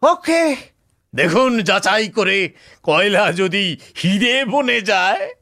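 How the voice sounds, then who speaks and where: An actor playing a talkative, smug police officer in a Bengali audio story, speaking colloquially with scoffing rhetorical tags. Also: male voice, high-pitched, very animated, slow, pushed and bright, full character voice. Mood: mocking. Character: Anishchay, talkative cocksure male police officer, smug and sardonic.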